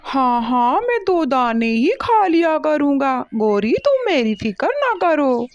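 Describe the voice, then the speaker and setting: A woman plays a hardworking crow husband in an animated tale, fed up with doing everything. The voice is fairly high and swoops expressively at a slow pace. The delivery is emphatic and full of complaint. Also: no room sound, highs cut off, heavily acted. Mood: complaining. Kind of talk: Hindi animated moral story dialogue.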